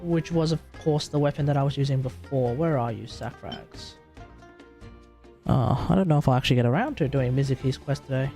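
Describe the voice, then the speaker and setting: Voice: medium pitch, brisk, mellow. A game streamer rambling casually to themself and the chat while commentating live play. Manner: rambling, talks to self and chat. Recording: game music behind the voice.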